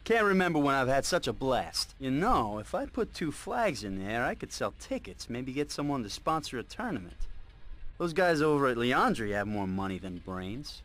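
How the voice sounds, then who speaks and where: A man's voice: mid-range voice, sing-song, moderate pace, fairly bright tone. A man playing a cocky, enthusiastic military weapons trainer in scripted game tutorial dialogue. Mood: pleased, friendly. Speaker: weapons instructor character. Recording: noisy game audio with gunfire ambience.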